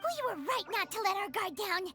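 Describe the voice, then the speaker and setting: A very high, chirpy childlike fairy companion. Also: female voice, very animated, normal speed, music in the background, exaggerated voice. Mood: watchful. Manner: refers to self in third person. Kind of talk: English video game voice acting.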